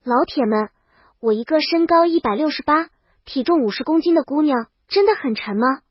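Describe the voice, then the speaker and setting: A woman's voice: high-pitched, animated, normal speed, no room sound, highs cut off. A woman reading a scripted first-person comic story as its brash, exasperated heroine. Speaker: audio story narrator.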